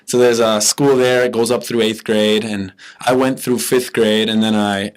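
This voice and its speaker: A young man, talking as a guest on a podcast. His voice sits low and stays fairly flat, and he tells his stories in a relaxed, easygoing way. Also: normal speed. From Milwaukee, USA. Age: 20s. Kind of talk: casual interview chat.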